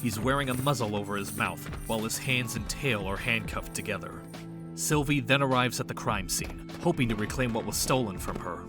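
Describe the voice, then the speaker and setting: A man's voice: fairly low pitch, fairly quick. A man reading scripted story narration for an audio drama, with music playing underneath.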